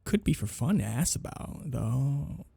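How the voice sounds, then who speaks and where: Man playing a husky, smug vampire, close up. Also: mid-range voice, sing-song, a little slow, warm tone, no echo, exaggerated voice. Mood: wistful, flirtatious. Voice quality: husky, breathy. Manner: teasing, taunting, lingering drawl on words. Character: smug, predatory male vampire, mockingly flirtatious toward captive. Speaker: ASMR roleplay performer. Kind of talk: intimate ASMR roleplay monologue.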